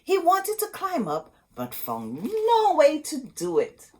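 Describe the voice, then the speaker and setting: A woman storyteller reading a storybook aloud expressively, in short dramatic phrases with pauses. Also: high-pitched, wildly swooping pitch.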